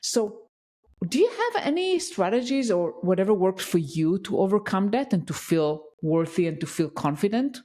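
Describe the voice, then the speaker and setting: A woman's voice: medium pitch, big pitch swings, moderate pace, dark tone. A woman with a foreign accent, hosting a conversational interview podcast. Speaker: podcast host.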